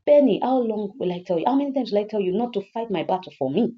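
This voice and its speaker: A woman's voice: mid-range voice, very animated, a little fast, highs cut off, pitched above the performer's own voice, natural voice. A woman voicing a stern, principled, scolding mother in a story, firmly repeating her admonitions.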